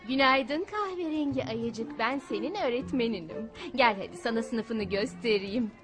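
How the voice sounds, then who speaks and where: A kindly teacher bear acted by a woman with a high voice. She is polite and welcoming in children's cartoon style, with music behind her. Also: lively intonation, normal speed, a crowd in the background, natural voice. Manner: inviting, polite.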